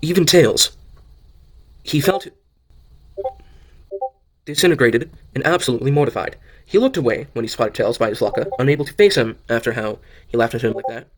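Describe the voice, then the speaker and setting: A man narrates an amateur audio drama in an average-pitched voice. He reads story prose and often stumbles, repeating and correcting phrases as he goes. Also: normal speed, pauses often. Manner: stumbles over lines and corrects himself. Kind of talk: amateur reading of fan fiction narration.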